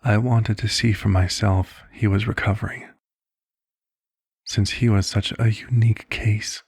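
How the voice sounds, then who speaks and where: A man playing a calm, caring vampire doctor in an ASMR roleplay, speaking in a soft, measured, reflective way. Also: on the low side, creaky, husky, no room sound, clean studio sound, exaggerated voice. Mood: somber, reflective. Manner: measured, hushed, reflective storytelling. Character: calm, caring male vampire doctor recounting a past case.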